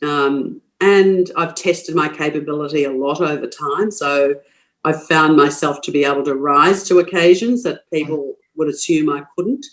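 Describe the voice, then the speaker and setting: A woman's voice: deep voice, moderate pace. Older aussie woman on a podcast, blunt and frank, laughs a lot, lots of restarts. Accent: Australian. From Queensland, Australia. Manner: frank, forthright, laughs easily, many restarts. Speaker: guest. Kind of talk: podcast interview.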